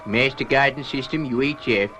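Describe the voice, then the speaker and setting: An actor playing a fussy, excitable professor aboard a rocket ship, earnest and halting, in a science fiction series. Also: male voice, mid-range voice, lightly acted, poor audio. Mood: focused. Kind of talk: 1960s children's science fiction puppet series acting. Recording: loud music and engine noise behind.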